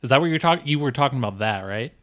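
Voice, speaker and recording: A man's voice: medium pitch, lively intonation, fast talker, a bit bright. A man producing a podcast, chatting in a distracted, offhand way with lots of "like". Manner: distracted, offhand, says "like" a lot. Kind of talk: casual chat.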